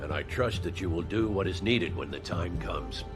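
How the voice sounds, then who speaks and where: An actor playing a tough, blunt male army colonel who speaks in a gruff, commanding way, with music behind. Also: deep voice, moderate pace, natural voice. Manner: gruff, commanding, scornful of officials. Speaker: commanding officer. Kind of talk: game cutscene acting.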